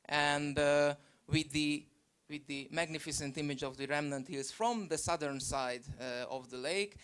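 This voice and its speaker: A man from Hungary presenting his geopark from slides over a video call, halting with many fillers. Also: a little high, a bit bright, smooth.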